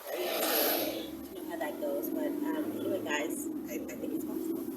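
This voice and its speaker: A woman vlogger chatting casually to her viewers in an animated, giggly, playful way as she answers their questions. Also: low-pitched, moderate pace, recorded from a distance. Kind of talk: casual vlog chat to viewers. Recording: loud ambient vehicle noise, off the mic.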